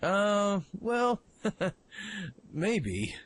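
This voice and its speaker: A man playing a brash, eager young mech pilot in an audio drama, informal and excitable in his delivery. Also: sits high, drawn-out words, full character voice. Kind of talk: fan audio drama acting.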